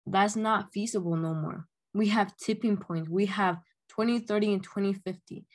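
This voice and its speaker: A young woman from Honduras, earnestly telling her story as an activist over a video call from her bedroom, with many "um"s and "like"s. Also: mid-range voice. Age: young adult. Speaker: youth climate activist. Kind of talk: casual spoken account. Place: bedroom at home, indoors. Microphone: laptop webcam, video call.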